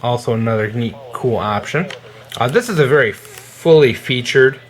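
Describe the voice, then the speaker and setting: A man giving a casual, hands-on product demonstration in an informal, halting way as a gear reviewer. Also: mid-range voice, lively intonation.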